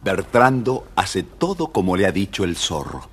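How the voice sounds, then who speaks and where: A man with a husky voice narrating a scripted children's story, dramatic and speaking straight to young listeners. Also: low voice, big pitch swings, measured pace.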